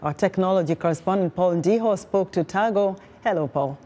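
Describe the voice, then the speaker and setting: A woman news anchor with a fairly low voice. The tone is mellow and relaxed as she reads scripted news copy. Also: fairly quick.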